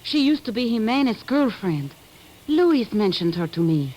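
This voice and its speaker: A woman's voice: a little high, very animated, moderate pace, a little mellow, a little hiss or hum, poor audio. An actress playing an earnest, anxious woman ally in a 1940s radio drama. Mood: urgent.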